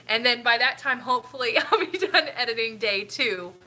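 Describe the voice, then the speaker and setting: A woman's voice: a little high, animated, moderate pace, pushed and bright, slight background noise. A middle-aged woman with a stuffy, sniffly nose, rambling casually with lots of ums while hosting a family live stream. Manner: rambling, chatty, with frequent ums.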